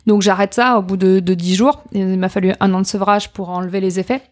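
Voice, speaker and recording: A middle-aged woman, telling personal stories in an informal, expressive, candid way as an interview guest. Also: medium pitch, fast talker. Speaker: podcast guest, coach. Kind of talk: personal storytelling in a conversational interview.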